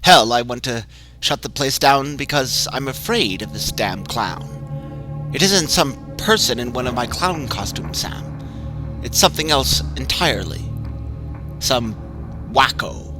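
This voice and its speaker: A man's voice: mid-range voice, lively intonation, measured pace, music in the background, natural voice. A man voicing a frightened middle-aged haunted house owner who talks nervously and insistently. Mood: worried.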